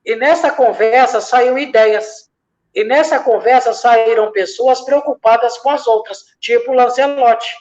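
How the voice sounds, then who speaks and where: A man's voice: very high-pitched, measured pace, darkish tone. A man from from that region, a lay missionary reflecting in a church discussion, building his points from parallel examples and often hesitating. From Minas Gerais, Brazil. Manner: speaks in repeated parallel examples, many hesitations. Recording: dull lo-fi sound.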